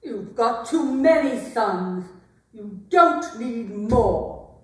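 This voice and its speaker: A woman's voice: a little high, expressive. Woman acting a biting, bitter queen, echoey hall. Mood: defiant. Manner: biting, deliberate, dramatic delivery. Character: bitter, taunting aging queen, scorned wife and mother. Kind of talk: theatrical staged dramatic reading. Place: arts center stage, recorded from afar. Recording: very echoey hall, distant mic.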